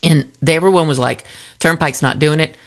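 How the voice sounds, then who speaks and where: A woman's voice: low voice, brisk. A woman co-hosting a morning radio show, telling stories in casual banter and laughing often. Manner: animated storyteller, laughs often. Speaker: radio co-host.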